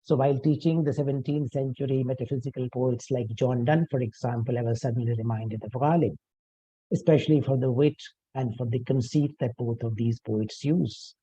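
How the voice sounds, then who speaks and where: An older man, a native Urdu speaker, giving a measured, formal academic talk about his book, pausing often with "uh" and correcting himself. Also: medium pitch, fairly even intonation, mellow, husky, no room sound, lo-fi sound. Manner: measured, formal, with frequent "uh" pauses and self-corrections. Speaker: author presenting his book.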